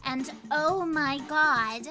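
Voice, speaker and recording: A woman's voice: high-pitched, extremely slow, sharp tone, soft background music, full character voice. A woman voicing a bratty, dramatic girl who boasts about her wand. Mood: sassy. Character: sassy girl with a magic wand.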